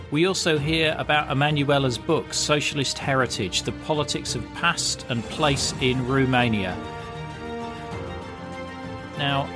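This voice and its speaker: A man hosting a podcast, reading a measured, scripted introduction. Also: mid-range voice. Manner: clear, measured, promotional read. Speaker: podcast host. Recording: music bed under voice.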